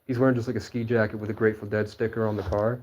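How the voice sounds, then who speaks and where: A man's voice: on the low side, little pitch movement, very fast, darkish tone, slight background noise. A man being interviewed, talking in a rambling way that is full of fillers and restarts. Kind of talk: rambling candid chat.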